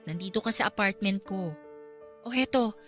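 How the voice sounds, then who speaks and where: A woman's voice: medium pitch, moderate pace, a bit bright, lightly acted. A Filipino actress in a radio drama playing a caring, exasperated young girlfriend, nagging yet tender. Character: caring, exasperated young girlfriend looking after her man. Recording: music behind.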